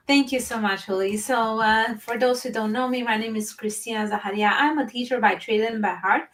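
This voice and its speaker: A woman from Romania with a slightly high voice, talking eagerly and earnestly as a guest. She sounds set back from the microphone, like a video call heard from a distance. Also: a little fast, a bit bright. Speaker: guest, teacher and business owner. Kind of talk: live-stream interview.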